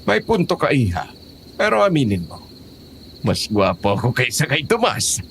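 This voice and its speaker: A man's voice: fairly high pitch, sing-song, takes it slowly, gravelly, a bit bright, slight background noise, exaggerated voice. A man playing a teasing, protective old grandfather in an audio horror drama. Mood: playful. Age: older. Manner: jokey, fond, cautioning.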